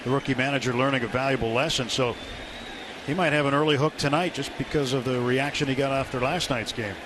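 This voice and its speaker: Male baseball analyst over loud background noise. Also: mid-range voice, steady tone, fast talker. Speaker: baseball radio commentator, analyst. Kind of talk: live sports analysis, conversational. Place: ballpark broadcast booth. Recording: live stadium broadcast, loud background noise.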